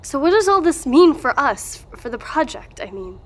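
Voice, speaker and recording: A woman's voice: high-pitched, sing-song, moderate pace. An actress playing a skeptical, worried woman who presses for answers in an earnest, argumentative way in an audio drama. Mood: anxious, questioning.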